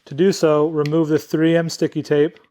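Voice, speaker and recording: Man giving step-by-step instructions, slightly higher voice. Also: level tone, moderate pace, warm tone. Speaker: product demonstrator.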